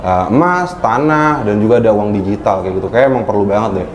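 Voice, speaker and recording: A twenty-two-year-old man answering interview questions in a measured, earnest way. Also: fairly low pitch, reverberant, crowd noise.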